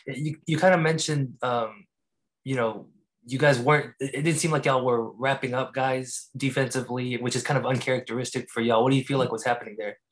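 Male reporter putting questions to a coach. Average pitch, casual, slightly hesitant. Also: fairly even intonation, brisk, low-quality recording. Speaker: sports reporter. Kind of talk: asking press conference questions.

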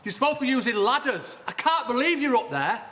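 A man's voice: very high voice, sing-song, fast talker, sharp tone, heavily acted. An actor playing an exasperated male warehouse worker in a naturalistic training drama, scolding a colleague insistently. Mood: exasperated, alarmed.

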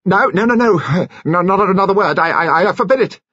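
A man voicing a nervous, fussy English gentleman with precious phrasing in a storybook reading. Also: mid-range voice, sing-song, normal speed, slightly rough, dry recording, lo-fi sound, voice pushed up. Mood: frantic. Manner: fussy, precious phrasing, gabbling when upset.

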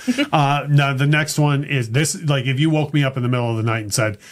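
A man's voice: medium pitch, fast talker. A middle-aged man hosting a casual music podcast in a small studio, talking in a loose, rambling way with many fillers and restarts. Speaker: podcast host. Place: small studio room with purple lighting.

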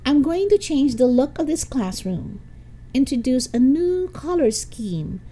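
A woman's voice: high-pitched, big pitch swings, a little slow, warm tone, pitched above the performer's own voice, full character voice. A woman voicing a prim, snobbish schoolgirl with haughty, precise diction in a storybook reading. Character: prim snobbish girl, class presidential candidate.

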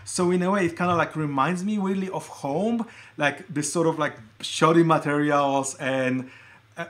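Middle aged guy from poland, voice a bit on the high side, chatty livestream show and tell, kinda halting and cuts himself off. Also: fairly bright tone. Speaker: livestream host showing collected keyboards. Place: indoor room at a desk.

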